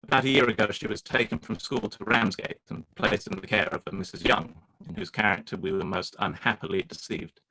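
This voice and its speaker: An actor playing a proud, reserved young gentleman, speaking formally and with measured gravity in a live-read radio play. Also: on the low side, steady tone, low-quality recording. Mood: grave, earnest. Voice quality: formal, measured, stiffly polite.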